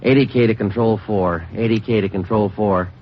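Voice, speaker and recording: An American man acting a terse, weary police sergeant who narrates a vintage radio crime drama in a matter-of-fact deadpan. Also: fairly low pitch, normal speed, a little hiss or hum, natural voice. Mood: urgent, commanding. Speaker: lead actor and narrator. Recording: muffled old radio recording, music behind.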